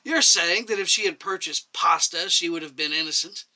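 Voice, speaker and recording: A man's voice: on the high side, bright, forceful tone, pitched above the performer's own voice. A man voicing a sarcastic elderly male doctor in a story, arguing in a mocking, emphatic way. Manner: mocking, emphatic, drawn-out argument.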